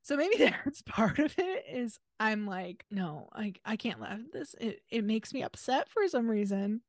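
A woman's voice: fairly high pitch, big pitch swings, unhurried, no room sound, clean studio sound. A woman co-hosting a podcast in casual conversation, giving opinionated, drily critical commentary. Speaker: podcast co-host.

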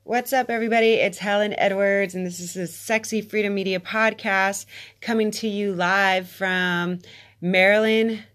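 A woman's voice: mid-range voice, steady tone, moderate pace, fairly bright tone. An American woman hosting her podcast, chatty, upbeat and playful, laughing easily. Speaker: podcast host. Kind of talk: casual podcast chat.

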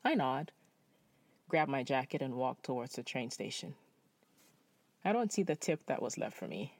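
Quiet reflective man from Nepal. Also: mid-range voice, sing-song, moderate pace, gravelly, no room sound. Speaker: storyteller. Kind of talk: spoken word personal essay.

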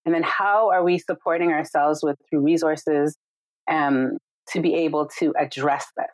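Low-voiced woman on a call. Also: steady tone, normal speed, dry recording, poor audio. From Jamaica. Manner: warm, reflective, frequent "right?" and "like". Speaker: guest, yoga and meditation teacher. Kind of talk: casual conversation on a video call. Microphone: video call.

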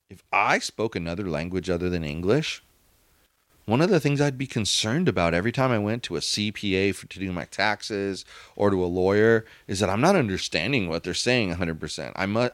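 A man's voice: fairly low pitch, lively intonation, normal speed, fairly bright tone, dry recording. A man co-hosting a casual podcast, arguing his points emphatically and bickering with his co-host. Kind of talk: casual opinionated chat.

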